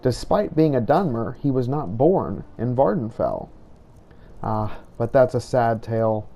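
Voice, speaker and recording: Gravelly, mellow man narrating. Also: medium pitch, expressive. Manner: measured, reflective storytelling. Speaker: roleplaying game narrator. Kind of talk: in-character roleplay narration.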